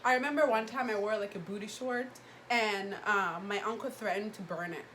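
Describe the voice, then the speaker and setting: A young woman from Ghana hosting a vlog, chatting casually and telling stories in a slangy, animated way. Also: mid-range voice, fairly quick, a little hiss or hum. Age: young adult. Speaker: vlog host asking questions. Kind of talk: casual chat with friends, storytelling.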